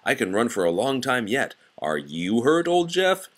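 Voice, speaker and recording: A man's voice: mid-range voice, sing-song, normal speed, voice pushed up. A man voicing a loyal old little fire engine in a storybook, brave, earnest and reassuring. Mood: brave, strained.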